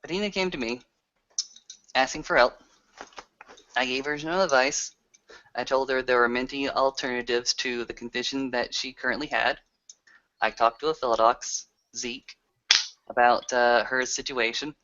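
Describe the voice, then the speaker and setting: A man playing an earnest, reflective werewolf in an improvised roleplay, talking in a deliberate, halting way. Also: mid-range voice, steady tone, sharp tone, slight background noise, natural voice. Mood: explaining, troubled. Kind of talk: improvised in-character roleplay conversation. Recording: dull lo-fi voice recording.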